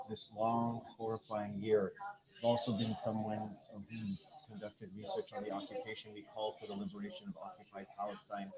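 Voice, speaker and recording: A man speaking as a guest on a live stream, earnest and declarative. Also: on the low side. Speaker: guest campaign founder. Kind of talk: remote interview. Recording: dull, muffled remote feed with crowd noise.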